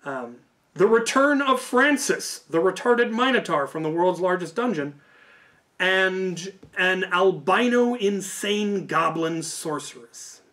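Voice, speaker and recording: A man's voice: high-pitched, animated, slow pace, sharp tone. A man telling stories casually as a video host, in an informal, hedging way that often corrects itself. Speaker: YouTube host. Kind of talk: casual rambling storytelling.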